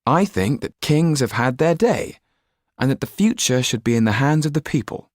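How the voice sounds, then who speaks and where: A man's voice: mid-range voice, lively intonation, normal speed, dry recording, studio quality, pitched above the performer's own voice, close to an everyday voice. A man voicing an earnest, passionate English youth of seventeen in an audiobook story. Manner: earnest, impassioned. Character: idealistic, passionate seventeen-year-old young man.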